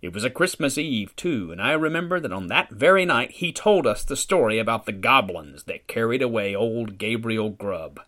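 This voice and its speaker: A man voicing a superstitious, fretful old woman who insists in a shrill, indignant way. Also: lively intonation, normal speed, few pauses, fairly bright tone, natural voice. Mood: reminiscing.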